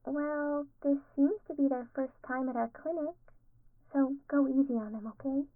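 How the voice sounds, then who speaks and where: A high, soft-spoken young woman as acted by an ASMR performer works as a clinic assistant. She is polite, caring and friendly, recorded very dry and close. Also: fairly even intonation, moderate pace, no room sound, phone-quality sound. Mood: gentle, attentive. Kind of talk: ASMR roleplay.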